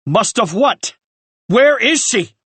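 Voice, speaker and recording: A man voicing a grieving father who is furious and bitter, lashing out loudly. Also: sits high, measured pace, dry recording, pitched above the performer's own voice. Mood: enraged. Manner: shouting, bitter, seething. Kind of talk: audiobook character reading.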